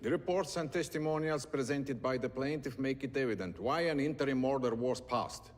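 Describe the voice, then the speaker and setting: A man's voice: mid-range voice, fairly quick, soft background music, natural voice. An actor playing a male judge who speaks with formal authority in a courtroom. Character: firm male judge delivering rulings. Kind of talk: film courtroom drama. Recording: echoey courtroom hall.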